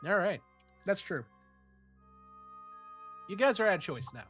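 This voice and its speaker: A man's voice: high voice, slow, soft background music. Nasal man with a head cold, game master. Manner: relaxed, rambling with fillers and swearing. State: stuffy nose from a head cold. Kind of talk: running a tabletop game over voice chat.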